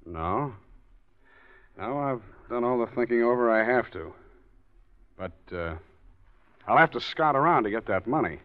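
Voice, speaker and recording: A man's voice: medium pitch, animated, measured pace, pauses often, clean tone, lightly acted. An actor playing a wary middle-aged man who weighs every word, in a muffled old radio drama. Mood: guarded. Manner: guarded, hesitant, clipped replies. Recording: muffled 1940s radio recording.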